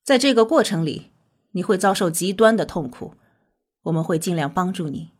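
An actress playing a composed research doctor in a science fiction radio drama, speaking in a calm, formal, matter-of-fact way. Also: female voice, on the low side, normal speed. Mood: clinical, calm. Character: composed female research doctor, frank and clinical. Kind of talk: Chinese sci-fi radio drama acting.

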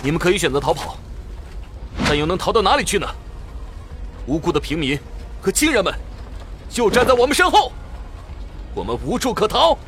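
Resolute young knight commander, animated. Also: male voice, sits high, brisk, pauses often, full character voice. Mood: rousing, determined. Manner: declamatory, earnest. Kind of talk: Chinese radio drama acting. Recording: battle ambience and noise behind.

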